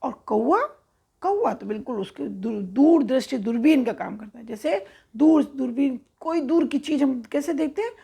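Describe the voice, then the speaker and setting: A woman's voice: sits high, creaky, warm tone, husky. A woman storyteller narrating for children in a conversational, explanatory way, checking in with her listeners.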